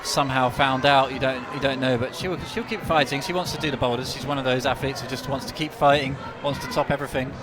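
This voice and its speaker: A man co-commentating live on a climbing competition, enthusiastic and excitable, often repeating words as he reacts to the action. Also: medium pitch, fast talker, hardly any pauses. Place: big climbing competition hall with a large crowd. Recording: loud crowd and background noise in an arena.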